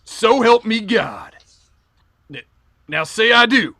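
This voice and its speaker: A man playing a rough, self-important deputy in an old radio western. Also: high-pitched, big pitch swings, slow, pushed and bright, dry recording, studio quality, full character voice. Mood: blustering.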